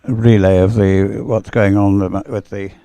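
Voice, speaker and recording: A man giving live, unscripted sports commentary as a race commentator, with a halting, rambling delivery full of hesitation. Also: lowish voice, level tone, mellow, no room sound.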